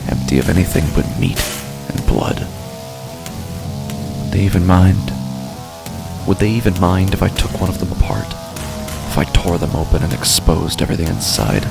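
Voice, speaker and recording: A man performs a brooding, menacing street tough narrating a horror audio drama. His voice is extremely low and thick with gravelly fry. He speaks in deliberate, halting phrases with a nihilistic edge, over prominent music. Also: exaggerated voice. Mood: menacing, morbid. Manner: brooding, halting, deliberate, with heavy pauses and sighs. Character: menacing, drugged, nihilistic male street tough with violent urges. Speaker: horror fiction podcast narrator. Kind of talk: first-person dramatic horror monologue. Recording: music under the voice. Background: background music.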